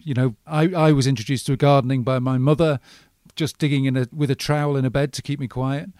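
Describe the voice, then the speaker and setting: A man interviewing a guest, asking gentle, measured questions. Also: medium pitch, fairly even intonation, no echo, studio quality. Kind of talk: radio interview.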